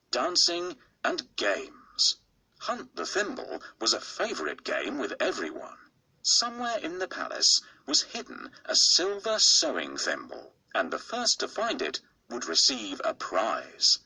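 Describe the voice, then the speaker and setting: Male bedtime reader, gravelly, slow, dry close sound. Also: on the low side, no echo, rough recording. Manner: slow, expressive storytelling with husky, gravelly tone. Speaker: narrator. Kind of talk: bedtime storybook read-aloud.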